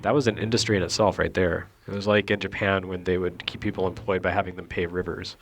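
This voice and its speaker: Low-voiced, dryly sarcastic man over noise. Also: flat delivery, quick pace. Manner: dry, sarcastic humour. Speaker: commentator. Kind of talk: casual joking commentary on video game cutscenes.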